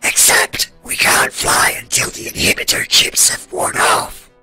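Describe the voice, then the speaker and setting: A man playing an excitable, mouthy robot with a raspy voice, speaking bluntly in an amateur comic dub. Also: a little slow, soft background music. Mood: brisk. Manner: indignant, blunt, exclamatory.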